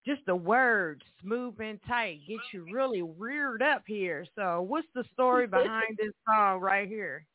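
A lively woman hosts a call-in show, slangy and full of enthusiasm. Her voice is dry and narrow, like a phone line. She speaks at a middle pitch. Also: measured pace, few pauses, no echo. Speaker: radio show host. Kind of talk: call-in radio talk.

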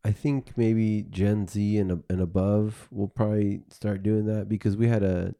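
Male podcast host, low mellow voice, rambling casual talk. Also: flat delivery, normal speed, gravelly. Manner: rambling, thinks aloud with restarts.

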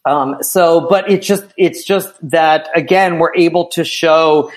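American man with a higher-pitched, thoughtful voice. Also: measured pace, poor audio. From small town in Pennsylvania, United States. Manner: thoughtful, often tags phrases with "right?". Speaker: interview guest, theatre artistic director. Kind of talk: conversational interview.

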